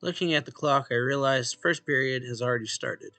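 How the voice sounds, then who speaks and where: A man's voice: mid-range voice, fairly quick, bright, forceful tone, dry recording, soft background music. A man hosting a let's play, reading a visual novel's narration and dialogue aloud and casually acting out the lines.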